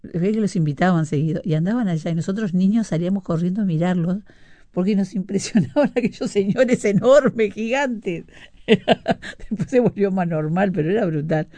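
A woman's voice: medium pitch, normal speed, dark tone, dry recording. A middle-aged woman with a Uruguayan Spanish accent hosting a casual radio show, chatting and reading out listener messages with frequent fillers and asides. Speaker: radio host.

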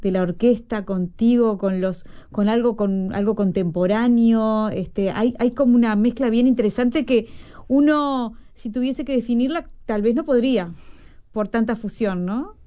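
A woman interviewer asking long, winding questions in a relaxed conversation. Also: a little high, a little slow.

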